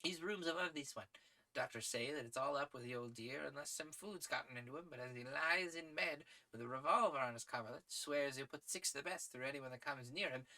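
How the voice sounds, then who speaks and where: A man voicing a hearty, daring aristocratic English gentleman adventurer from a storybook, with jaunty, slangy upper-class phrasing. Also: on the high side, pitched above the performer's own voice.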